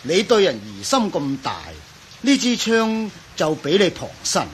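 A crafty older professor in a radio drama, speaking with a Hong Kong Cantonese accent. His pitch swings widely as he sighs and condescends with false geniality. Clear rain noise sits behind the voice. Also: sing-song, unhurried. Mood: mock genial.

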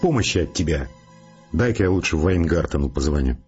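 An actor playing an anxious, irritable scientist in a radio play, with a nervy, muttering delivery. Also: male voice, rumbling low, expressive, a little fast, darkish tone, lo-fi sound, faint music behind. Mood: irritated, weary. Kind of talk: Russian radio play acting.

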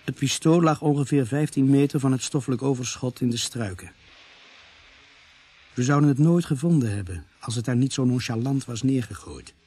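A dutiful police officer played by a Dutch man reporting in a radio drama. The voice is husky and of middle pitch, with a factual delivery. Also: normal speed, warm tone, lightly acted.

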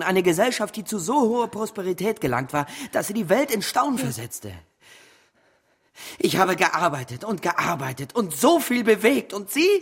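An actor playing an earnest, betrayed company man in a radio play, husky and insistently reproachful. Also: high voice, exaggerated voice. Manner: insistent, emphatic.

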